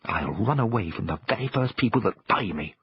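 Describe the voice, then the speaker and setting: A man's voice: on the low side, expressive, brisk, highs cut off, a little hiss or hum. A man voicing an indignant, petulant little toy dog in an English accent for a storybook reading. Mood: indignant, fed up. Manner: petulant, emphatic.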